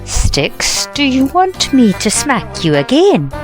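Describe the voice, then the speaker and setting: A woman playing a bossy, short-tempered woman with a scolding, sharp-tongued manner in an amateur audio drama. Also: a little high, huge pitch swings, slow, music in the background, exaggerated voice.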